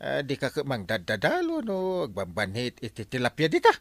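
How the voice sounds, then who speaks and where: An actor playing an excitable, scolding middle-aged village captain with an Ilocano accent in a radio comedy drama. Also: male voice, mid-range voice, moderate pace, no echo. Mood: lecturing.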